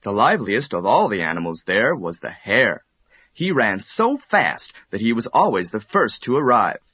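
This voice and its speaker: Husky, expressive male storyteller. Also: on the low side, normal speed, no echo, muffled recording. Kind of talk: scripted children's bedtime story narration.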